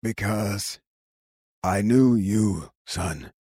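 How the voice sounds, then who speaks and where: A man reading an audiobook, voicing a dying elderly cobbler father with a cracked, weak voice and slow, halting speech. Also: on the low side, dark tone, breathy edge, no echo, studio quality, exaggerated voice. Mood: tender. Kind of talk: audiobook character voice.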